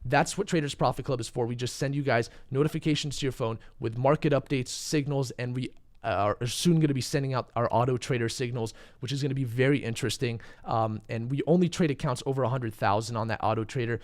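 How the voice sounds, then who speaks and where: A young man hosting a live crypto trading stream, a little hoarse and under the weather, talking casually and answering chat questions. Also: mid-range voice, quick pace. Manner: casual, chatty, uses fillers, reads chat questions. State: losing his voice, a bit sick. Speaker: crypto trading channel host. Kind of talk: live stream market commentary.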